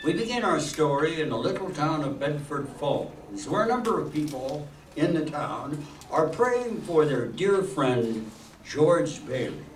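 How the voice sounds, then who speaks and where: Man playing a deliberate old-time radio announcer, echoey hall. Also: on the high side, sing-song. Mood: storytelling. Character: genial 1940s radio show announcer and narrator. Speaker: live radio play host and announcer. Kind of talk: 1940s radio announcing, scripted. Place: stage of an auditorium with live audience. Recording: echoey hall from far off, faint noise.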